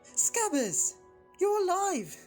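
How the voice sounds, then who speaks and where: A woman voicing an excitable, blunt teenage boy wizard in an audio drama, given to exclamations. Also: very high-pitched, takes it slowly, soft background music, full character voice. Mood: relieved surprise.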